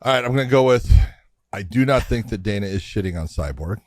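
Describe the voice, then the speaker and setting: Male podcast co-host, fairly low voice, plain matter-of-fact delivery, dry sound. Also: lively intonation, fast, dry recording. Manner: matter-of-fact, reasoning things through out loud. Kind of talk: casual MMA podcast chat.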